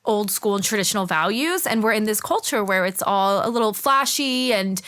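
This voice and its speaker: Relaxed young woman from Florida. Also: mid-range voice, fairly quick. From Florida, USA. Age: young adult. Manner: relaxed and chatty, laughs easily. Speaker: podcast guest, clothier. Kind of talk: casual interview chat.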